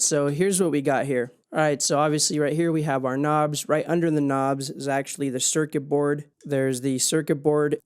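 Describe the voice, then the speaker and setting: A man's voice: on the high side, smooth. A man hosting a casual hands-on explainer video, speaking in an informal, plain-spoken way. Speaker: video host.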